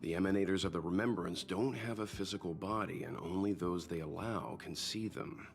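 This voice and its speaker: An actor voicing a calm, thoughtful, worldly gentleman in a video game, speaking in a measured, pondering way. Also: deep voice, vocal fry. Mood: thoughtful, wary.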